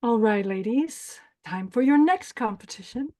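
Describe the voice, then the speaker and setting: A woman's voice: fairly high pitch, lively intonation, measured pace, mellow. A woman playing a commanding, dismissive pageant host with a sinister edge, in a screenplay table read. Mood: coolly dismissive.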